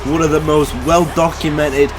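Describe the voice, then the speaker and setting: A man commentating his own wrestling video game, losing his voice, getting hyped and shouting at the big moves. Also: a little high, little pitch movement, moderate pace, background noise. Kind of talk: excited play-by-play commentary.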